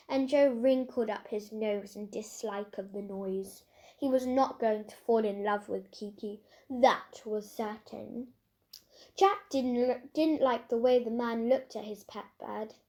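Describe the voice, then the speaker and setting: A woman reading a children's story aloud in an expressive narrating style. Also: fairly high pitch, slow. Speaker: storybook reader.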